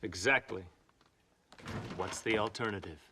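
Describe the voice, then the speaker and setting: An actor playing a stern, hostile government agent in a video game, speaking in a blunt, clipped, accusing manner. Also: male voice, fairly low pitch, unhurried, noisy background. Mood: curt. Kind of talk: video game cutscene acting.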